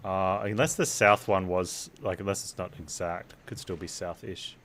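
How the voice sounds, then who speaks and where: A man's voice: low-pitched, steady tone, moderate pace, fairly bright tone, slight background noise. A man giving casual live gameplay commentary, rambling as he thinks aloud. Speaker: game streamer. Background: game music.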